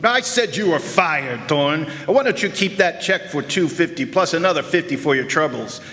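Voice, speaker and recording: A man's voice: fairly high pitch, lively intonation, normal speed, bright, forceful tone. A man playing a blustering, indignant businessman in a comic detective radio play, huffy and curt. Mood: indignant, dismissive. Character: testy, blustering male businessman, an indignant client. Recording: live stage, long hall reverb.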